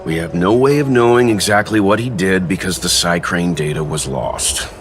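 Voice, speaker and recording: A man voicing a calm, middle-aged mentor in a video game, measured, polite and dryly humorous. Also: low voice, music in the background, lightly acted. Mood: measured, reasoning. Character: calm, composed, gently amused male mentor.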